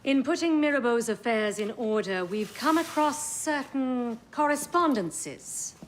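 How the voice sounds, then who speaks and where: An actress playing a measured, authoritative assassin leader in a video game, speaking formally and deliberately. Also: female voice, a little high, expressive, slight background noise. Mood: measured, grave. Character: measured, authoritative female assassin council elder. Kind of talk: dramatic video game voice acting.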